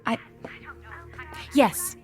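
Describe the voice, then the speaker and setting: An actress playing a worried, earnest young student in an audio drama, speaking with secretive urgency. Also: female voice, extremely slow, a little mellow, faint music behind.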